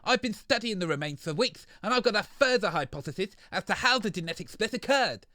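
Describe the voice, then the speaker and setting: A man's voice: sits high, animated, brisk, pushed and bright, dry recording. A man playing a self-important, argumentative environmentalist in a comedy audio sketch. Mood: scornful. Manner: pompous, argumentative.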